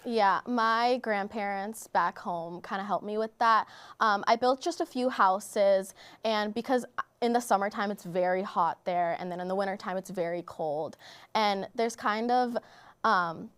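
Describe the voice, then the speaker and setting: A woman's voice: medium pitch, unhurried, a bit bright, clear, resonant tone. A teenage girl from Bulgaria, answering interview questions in a chatty way, saying "like" often. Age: teens. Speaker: high school student guest.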